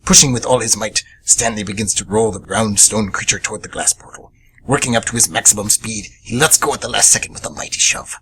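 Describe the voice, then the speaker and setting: A man's voice: on the low side, fairly quick, pushed and bright, a little hiss or hum, rough recording. A man performing radio theatre as a vengeful, obsessed little monkey demon hunter, narrating with grave drama. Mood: brooding, vengeful.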